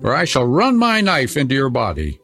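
A man's voice: medium pitch, huge pitch swings, normal speed, a bit bright, soft background music, pitched above the performer's own voice. A man voicing a wild, threatening little robber girl in a storybook reading, bossy and menacing. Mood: commanding.

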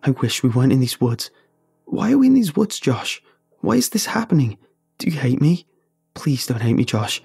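A man's voice: medium pitch, lively intonation, moderate pace, vocal fry, warm tone, husky, no echo, clean studio sound, soft background music, pitched above the performer's own voice. A man voicing a frightened, insecure, despairing male friend in a horror story, pleading with emotional outbursts. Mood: desperate, pleading. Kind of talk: dramatic horror story dialogue.

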